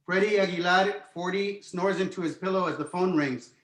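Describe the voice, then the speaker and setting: A man's voice: high voice, normal speed, fairly bright tone. A man narrating a screenplay's stage directions as scripted reading, recorded in an echoey room.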